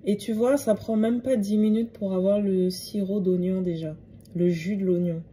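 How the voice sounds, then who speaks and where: Mellow-voiced woman chatting through a recipe. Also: mid-range voice, fairly even intonation, normal speed, a little hiss or hum. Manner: chatty, addresses the viewer directly as a friend. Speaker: home remedy vlogger. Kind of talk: casual how-to narration to camera.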